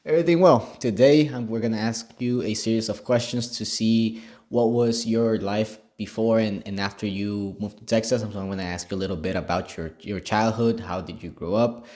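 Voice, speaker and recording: A man's voice: on the low side, normal speed, a little mellow. Young man interviewing his uncle. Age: young adult. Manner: casual, many filler likes and restarts. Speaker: student interviewer. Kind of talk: class project interview.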